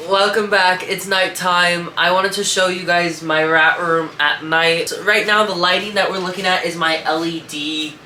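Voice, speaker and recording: Young male vlogger, congested, bright edge, rambling and profane; echoey shed. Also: high-pitched, fairly even intonation, moderate pace, hardly any pauses, slight background noise. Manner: rambling, profane, chatty with viewers. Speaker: reptile and rat breeder vlogger. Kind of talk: casual show-and-tell vlog. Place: rat shed.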